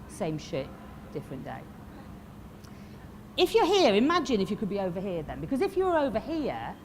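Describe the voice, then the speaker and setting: A woman in her fifties with a Yorkshire accent, giving a blunt, lively motivational talk to a live audience. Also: on the high side, slight background noise. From Lancashire, England. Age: 50s. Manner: lively, blunt and swearing, asks the crowd questions. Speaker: motivational speaker. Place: expo stage before a live audience.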